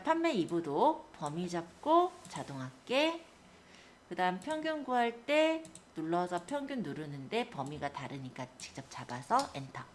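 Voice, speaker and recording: A woman instructor giving a polite, step-by-step spreadsheet software tutorial, guiding listeners through each action. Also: on the low side, a little hiss or hum. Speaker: computer skills instructor.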